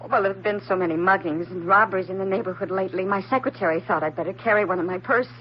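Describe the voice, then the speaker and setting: A woman playing a frightened, naive and anxious woman in an old-time radio drama, speaking haltingly and earnestly. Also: medium pitch, lightly acted. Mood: distressed. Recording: dull, slightly noisy old radio recording.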